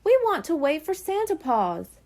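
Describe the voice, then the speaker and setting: A sweet young kitten girl from a storybook who speaks earnestly, her voice high and bouncing. A woman reads her aloud. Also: sing-song, moderate pace, darkish tone. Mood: hopeful.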